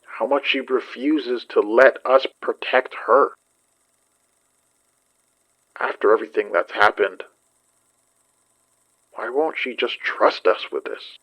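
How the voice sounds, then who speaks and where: A man's voice: medium pitch, takes it slowly, long pauses, dry recording, lo-fi sound. A man playing a grim, weary friend worried about someone secretive, in a naturalistic audio drama. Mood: hurt, frustrated.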